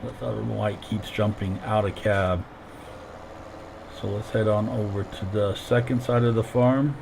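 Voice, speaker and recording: A man's voice: fairly low pitch, steady tone, unhurried, gravelly, background noise. A man streaming a farming game while sick and losing his voice, explaining each step as he plays into a headset. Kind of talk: casual gameplay commentary.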